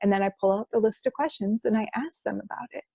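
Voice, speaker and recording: A woman's voice: mid-range voice, fast talker, gravelly, mellow, slightly rough, dry recording. A woman who works as a hypnosis practitioner, explaining her sessions as a guest in an interview, enthusiastic and often restarting her phrases.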